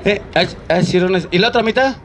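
A man's voice: high-pitched, a little fast. A man with a Mexican accent working a crowd as a street comedian, bantering in a teasing, cheeky way. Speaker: street clown comedian hosting a live show. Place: outdoor public park in a city. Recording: outdoor urban noise, crowd around.

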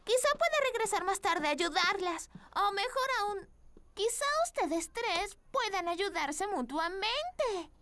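A woman's voice: very high voice, expressive, takes it slowly, a little hiss or hum, exaggerated voice. A woman voicing a kind, helpful little girl in a dubbed cartoon, sweet and polite. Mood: apologetic. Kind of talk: dubbed children's cartoon acting. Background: loud playful children's music.

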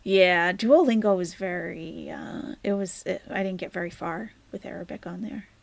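A woman's voice: on the low side, moderate pace, gravelly, no echo. A woman hosting a live stream, chatting casually and rambling as she answers viewer comments, often dropping to near-whispered asides.

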